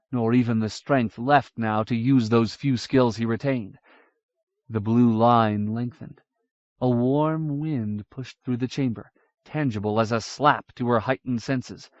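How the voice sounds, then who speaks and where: A male audiobook narrator at a mid pitch, reading with measured, even pacing. The recording is dry and slightly dull, as if from an older studio master. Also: no echo. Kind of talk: scripted fantasy narration.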